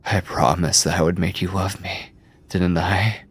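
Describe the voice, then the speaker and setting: A male actor voices an obsessive mad scientist holding someone captive in an intimate horror ASMR roleplay. His voice is deep and very breathy, often sinking toward a whisper. He speaks slowly and deliberately, clinical yet possessively doting. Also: level tone. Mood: tender, confessional. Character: obsessive yandere mad scientist, soft-spoken, controlling male captor.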